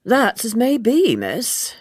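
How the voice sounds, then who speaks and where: A woman's voice: on the high side, huge pitch swings, slow pace, a little mellow, husky, no echo, studio quality, pitched above the performer's own voice, full character voice. A woman voicing a gossipy, disapproving English housekeeper in an audiobook, huffy, colloquial and full of insinuation.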